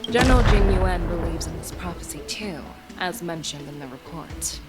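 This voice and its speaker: A woman's voice: fairly low pitch, animated, normal speed, natural voice. Woman acting assured commanding female general, music behind. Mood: probing. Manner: assured, formal, probing. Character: confident, commanding female general, shrewdly probing interrogator. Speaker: video game character. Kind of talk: game voice acting. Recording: game music playing behind.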